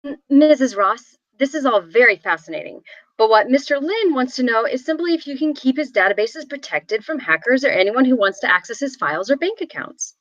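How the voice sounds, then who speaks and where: A woman's voice: sits high, animated, fairly quick, a bit bright, no echo. A woman at a script table read playing a formal, demanding client in her late thirties. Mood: demanding, cool. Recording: dry, dull lo-fi microphone.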